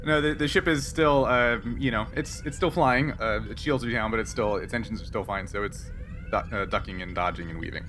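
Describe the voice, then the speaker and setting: A man's voice: on the low side, a little fast, fairly bright tone, slight background noise. A man speaking as game master, narrating and bantering through an unscripted tabletop game.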